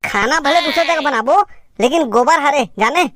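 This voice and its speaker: High-voiced, stubborn cartoon village man, acted by a man. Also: normal speed, sharp tone, no echo, clean studio sound. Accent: Chhattisgarh. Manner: insistent, repeats words emphatically. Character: stubborn, fussy, argumentative male villager on a picnic. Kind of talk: cartoon comedy dialogue.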